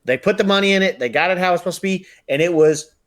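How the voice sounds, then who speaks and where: A man's voice: high voice, fast. A man co-hosting a podcast, giving his opinions in an informal, conversational way. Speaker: podcast co-host. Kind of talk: casual podcast chat about movies.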